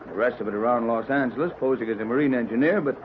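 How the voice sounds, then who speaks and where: A man's voice: mid-range voice, rapid-fire, lightly acted. Tinny vintage radio actor as a fatherly boat captain. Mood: wry, thoughtful. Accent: American. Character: calm seasoned boat captain, fatherly and wry, middle-aged man. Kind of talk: old-time radio adventure serial acting. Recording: tinny, noisy old radio recording.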